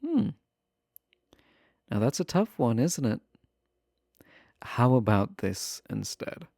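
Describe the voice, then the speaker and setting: A man's voice: mid-range voice, very animated, slow, creaky, dark tone, dry recording, studio quality, heavily acted. A man playing a charming, sly villain prisoner in an intimate roleplay audio, talking in a coy, flirtatious, casual way. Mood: flirty, teasing.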